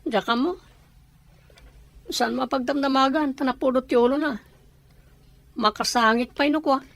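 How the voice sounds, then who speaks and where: A woman's voice: high-pitched, moderate pace. An actress playing a bitter, resentful older brother, still a boy, in a radio drama. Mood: sullen, dismissive. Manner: sullen, resentful.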